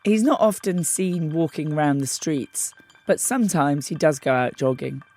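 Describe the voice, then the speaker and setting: A woman hosting an investigative podcast, narrating in a measured, deliberate way with a hoarse, croaky voice. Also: low-pitched, a little mellow, soft background music. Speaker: host and investigative journalist. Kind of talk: scripted documentary narration.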